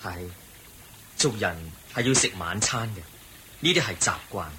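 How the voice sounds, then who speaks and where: A calm, low-voiced Buddha. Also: male voice, a little hiss or hum. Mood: patient, explaining. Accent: Hong Kong Cantonese. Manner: measured, patient explaining. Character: the Buddha, calm kindly male wandering monk and teacher. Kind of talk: Cantonese radio drama acting.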